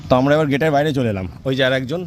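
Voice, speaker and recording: A man's voice: mid-range voice, expressive, racing, noisy background. A man with a Bengali Indian accent, a native Bengali speaker, giving an informal hands-on product demonstration as a vlogger. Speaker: vlogger presenting a product test.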